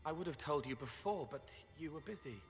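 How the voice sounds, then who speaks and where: A man voicing a quiet, eerie young male spirit who speaks softly and plainly, in a video game. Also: fairly high pitch, animated, soft background music. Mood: earnest. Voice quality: soft, halting, plain-spoken. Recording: game audio with long reverb.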